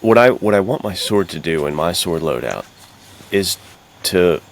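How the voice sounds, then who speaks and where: A man's voice: sits low, lively intonation, normal speed, vocal fry, a little hiss or hum. A young man co-hosting a podcast, chatting in a slangy, informal, loosely rambling way. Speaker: podcast co-host. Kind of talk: casual chat.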